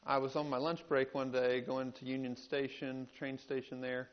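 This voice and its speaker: A man with a Southern American accent and a mid-pitched voice speaks to an audience in a folksy, storytelling way. He goes haltingly, repeating words as he finds his way. The live recording sounds dull and band-limited. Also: little pitch movement, smooth. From rural North Carolina, United States. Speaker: invited panel speaker.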